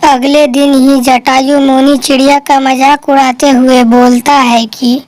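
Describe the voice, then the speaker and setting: A woman's voice: high-pitched, little pitch movement, a little slow, darkish tone, no echo. A woman narrating a scripted children's story.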